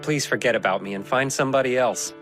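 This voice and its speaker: An actor with an American accent playing a jaded teenage boy in an anime dub, narrating his thoughts with sardonic, deadpan delivery. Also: mid-range voice, quick pace, lightly acted. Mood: dry, unimpressed. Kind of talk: anime dub internal monologue. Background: music.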